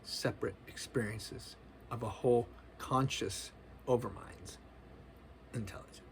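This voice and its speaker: A man's voice: medium pitch, measured pace, slight background noise, low-quality recording. A man in his forties giving a loose, earnest spiritual monologue, casual and full of slang. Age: 40s. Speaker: spiritual vlogger.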